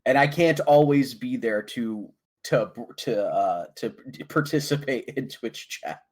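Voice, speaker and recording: A man hosting a casual esports podcast, talking in rambling, halting sentences with many restarts. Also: medium pitch, lively intonation, a little mellow. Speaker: host.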